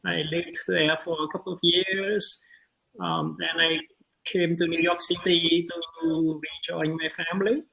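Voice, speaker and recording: A transgender woman in her fifties who grew up in Vietnam, speaking slowly and hesitantly as a guest on a video call. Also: on the low side, level tone, vocal fry, fairly bright tone, rough recording. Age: 50s. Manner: slow, hesitant, with many pauses. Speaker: guest, nonprofit board president. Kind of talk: casual video call interview.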